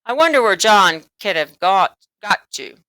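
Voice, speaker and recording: A woman's voice: mid-range voice, expressive, measured pace, sharp tone, dry recording, poor audio. A woman voicing a matter-of-fact father in a children's storybook, calling out to his wife. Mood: casual, calling out. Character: father, loudly relaying news to his wife.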